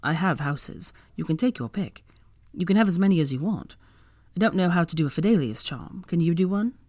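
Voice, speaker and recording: A woman's voice: fairly low pitch, expressive, brisk, a little mellow, no echo, studio quality. A woman voicing a devoted, protective young father, brooding and tender, in an audiobook story. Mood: eager.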